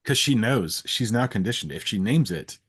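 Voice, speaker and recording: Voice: mid-range voice, expressive, moderate pace, breathy edge. A co-host with a wry, teasing way of talking, chatting casually and presenting quizzes. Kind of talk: casual chat.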